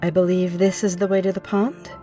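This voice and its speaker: A woman's voice: lowish voice, little pitch movement, fairly quick, dark tone, faint music behind, close to an everyday voice. A woman playing a gracious, playful vampire in an ASMR roleplay, with a formal, courteous, poised way of speaking. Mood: admiring.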